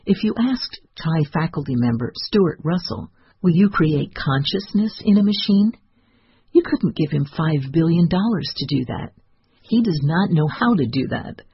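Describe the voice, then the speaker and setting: A man narrating a scripted article read-aloud, including quoted remarks, in a husky voice. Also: a little high, animated, a little slow, dry recording, muffled recording.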